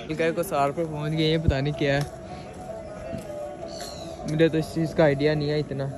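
Excitable young man. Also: fairly high pitch, moderate pace, over music. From Pakistan. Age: young adult. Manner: chatty, excitable, talks while walking. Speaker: vlogger. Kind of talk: casual travel vlog. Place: busy snowy hill-town street outdoors. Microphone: phone held at arm's length. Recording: loud crowd noise outdoors. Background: crowd chatter.

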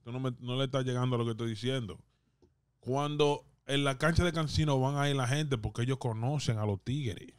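A man's voice: medium pitch, a little fast, smooth, dry recording. Emphatic Dominican man, close dry sound. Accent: Dominican Spanish. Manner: emphatic, repeats phrases for emphasis. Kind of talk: casual podcast debate, switching between Spanish and English. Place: small indoor podcast studio. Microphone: close studio microphone on boom arm.

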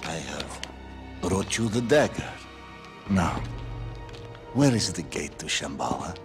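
Over loud cinematic music comes a ruthless video game warlord. His voice is low and slow, every word a deliberate, contemptuous threat. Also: sing-song, exaggerated voice. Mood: contemptuous impatience. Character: menacing, ruthless male warlord, middle-aged commander, cold and volatile. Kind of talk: video game cutscene acting.

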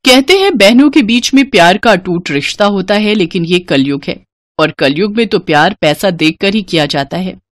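A woman narrating animated moral stories from a script, as a storyteller. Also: medium pitch, moderate pace, a little hiss or hum.

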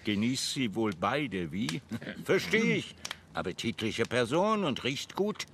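An actor playing a boisterous, leering old soldier in a German radio play, barking hearty, clipped phrases. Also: male voice, mid-range voice, sing-song, slow pace, noisy background. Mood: jovial, boisterous.

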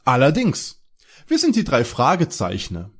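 Husky, lively man playing a cocky boy detective. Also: medium pitch, measured pace, exaggerated voice. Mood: eager. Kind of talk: German detective radio play parody.

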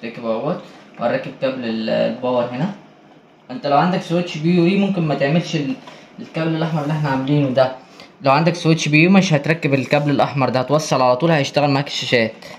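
A man's voice: medium pitch, very fast, echoey room. A man with an Egyptian Arabic accent giving casual, hands-on tutorial commentary as an installer, recorded on site indoors. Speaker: installer presenting an installation video. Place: indoors in a marble-walled room. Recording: noisy handheld on-site recording.

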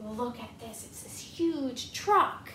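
A woman's voice: a little high, lively intonation, slow. A woman in her twenties reading a picture book to children, encouraging them and asking questions with exaggerated expression. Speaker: storyteller. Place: living room, indoors. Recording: roomy home recording, some noise.

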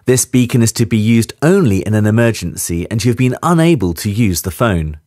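A man reads a scripted safety briefing in a clear, measured way. His voice sits low but moves through a wide range of pitch. The recording is dry and close, with no room sound. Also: expressive, a little mellow, dry recording. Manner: clear, measured instructional delivery. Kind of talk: scripted voice-over instructions.

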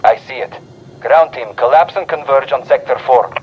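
An actor playing a focused special-operations agent in a game, speaking in terse tactical radio calls. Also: male voice, medium pitch, moderate pace, natural voice. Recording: muffled, noisy radio transmission.

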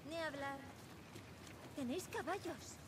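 Curious, outspoken teen girl survivor, high Castilian voice, naturalistic game drama, rainy background noise. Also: a little slow. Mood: casual. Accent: Castilian Spanish. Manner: direct, inquisitive. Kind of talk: naturalistic video game acting. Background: rain, outdoor game ambience.

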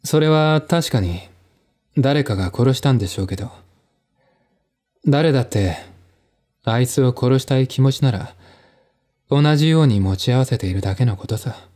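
Man playing a dry, cynical man, mellow voice. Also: mid-range voice, expressive, close to an everyday voice. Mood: sardonic. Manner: dry, sardonic, measured. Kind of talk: dramatized audiobook dialogue.

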